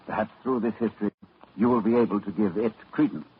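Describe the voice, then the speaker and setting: A man's voice: on the low side, fairly even intonation, fast talker, close to an everyday voice. An actor narrating as a learned, grave man in a 1940s-style radio horror drama, telling the tale dramatically. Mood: solemn. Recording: dull old radio broadcast with music.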